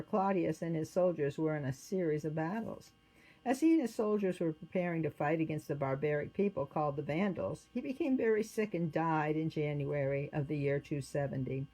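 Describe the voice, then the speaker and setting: A woman's voice: sits low, normal speed, mellow, faint music behind, low-quality recording. A woman reading a children's religious story aloud as its narrator.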